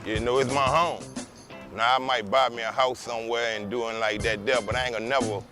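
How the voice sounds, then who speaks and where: A man's voice: mid-range voice, fairly even intonation, fairly quick. Man, uptown New Orleans accent, laid-back, music playing underneath. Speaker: interviewee. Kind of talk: street interview clip. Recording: interview clip with music underneath.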